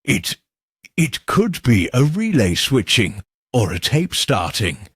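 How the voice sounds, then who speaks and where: A man's voice: mid-range voice, big pitch swings, slow pace, a bit bright, husky, dry recording, clean studio sound, full character voice. A man voicing a nervous, hesitant male radio technician who stammers, in a mystery story.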